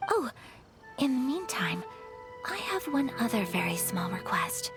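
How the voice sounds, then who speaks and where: A refined young noblewoman, high-voiced and expressive, with music behind her. She speaks with formal courtesy and composure, in a video game style. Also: a little slow. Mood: polite, thoughtful.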